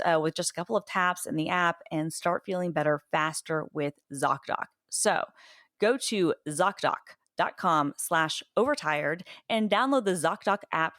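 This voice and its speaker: A woman with a fairly low voice reading a sponsor spot in loose, jokey podcast style, slipping in quick asides. Her voice sits close and dry on the mic. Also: no echo. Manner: chatty, jokey asides, fast bursts. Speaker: podcast co-host. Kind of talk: casual podcast chat and conversational ad read.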